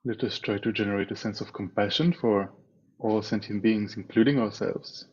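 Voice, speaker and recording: A man leading a guided meditation as a teacher, speaking in a calm, measured way with long pauses between phrases. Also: fairly low pitch, husky. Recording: dull, muffled online meeting audio.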